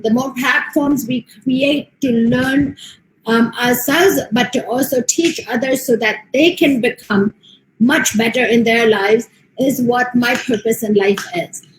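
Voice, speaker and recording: A woman's voice: a little high, echoey room, slight background noise, rough recording. An American woman, a motivational speaker, giving an earnest online presentation with frequent ums. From United States. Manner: steady, earnest delivery with frequent um fillers.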